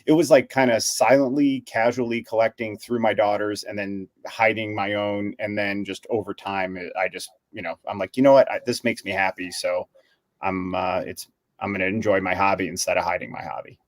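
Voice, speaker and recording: A man's voice: on the low side, fairly even intonation, fairly quick, no room sound. A man in his forties co-hosting a casual chat, talking in a rambling way with many fillers and restarts. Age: 40s. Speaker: co-host.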